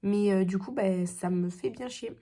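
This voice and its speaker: A young woman talks to camera at home with a blocked, stuffy nose from a cold. She rambles in a casual, chatty way, full of pauses and hesitations, in an ordinary middle-pitched voice. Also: warm tone. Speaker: beauty vlogger. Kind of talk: casual vlog chat.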